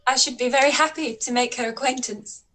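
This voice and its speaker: A woman playing a young gentlewoman in a radio play, polite but halting and stumbling over her words. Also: high-pitched, fairly even intonation. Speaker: actor in a live-read radio play. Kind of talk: period radio play acting.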